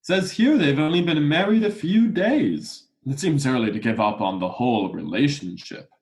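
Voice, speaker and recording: An officious fish judge, acted by a man in a radio play, presiding over an undersea court. His mid-pitched voice is measured and dry, with formal courtroom phrasing. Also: expressive, a little mellow, lightly acted. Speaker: actor.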